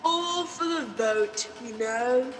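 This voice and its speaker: A woman's voice: sits high, animated, slow pace, in a large hall. A woman acting a blunt, wheedling working-class prison guard in a comic radio play, brash and colloquial. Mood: grumbling.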